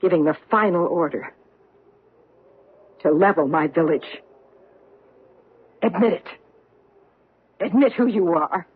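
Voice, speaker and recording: An actress in an old-time radio drama playing a vengeful survivor confronting her enemy with deliberate, accusing intensity. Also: fairly low pitch, breathy edge. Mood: accusing, stern. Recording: muffled vintage radio recording.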